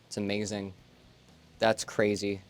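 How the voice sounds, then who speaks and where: A man's voice: lowish voice, a little slow, soft background music. Laid-back man in his twenties rambling. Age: 20s. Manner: relaxed, rambling, many fillers. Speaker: streamer. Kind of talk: casual chat on a livestream.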